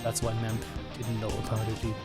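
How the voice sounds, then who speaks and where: A man from Germany with a German accent, calmly commentating a strategy game match and answering chat questions. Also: fairly low pitch, fairly quick. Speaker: esports commentator. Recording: game music playing behind.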